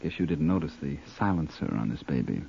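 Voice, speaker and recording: Gravelly, deep, cultured American hitman. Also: expressive, mellow, exaggerated voice. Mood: calm, politely menacing. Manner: unhurried, musing, dryly polite. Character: cool, cultured hired killer who loves classical music. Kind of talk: 1940s-50s radio crime drama acting. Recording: dull old-time radio recording.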